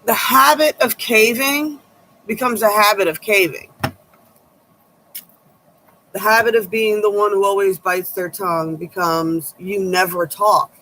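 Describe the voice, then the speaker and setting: A woman tarot reader with a low voice, giving blunt, sharp-tongued advice in a casual chat to her viewers. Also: fairly even intonation, unhurried, long pauses, a little hiss or hum, low-quality recording.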